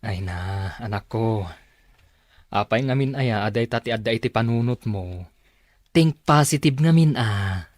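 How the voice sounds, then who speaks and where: A man's voice: fairly low pitch, animated, a little slow, long pauses, no room sound, clean studio sound. An actor playing a kind, encouraging middle-aged father in a radio drama.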